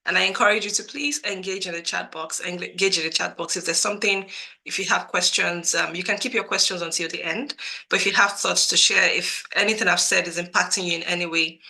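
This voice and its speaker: Bright-voiced woman with a cold, on a webinar. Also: lowish voice, low-quality recording. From Nigeria. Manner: earnest, repeats herself for emphasis. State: has a cold, voice a bit cracked. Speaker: webinar host and career coach. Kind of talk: live webinar presentation. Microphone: computer mic on a video call.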